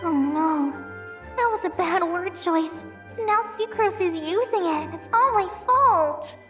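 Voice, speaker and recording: A woman's voice: very high-pitched, animated, takes it slowly, pushed and bright, heavily acted. A woman voicing a timid, anxious teenage girl with a hesitant manner in a video game. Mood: flustered. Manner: hesitant, apologetic. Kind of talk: video game character acting. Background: faint music.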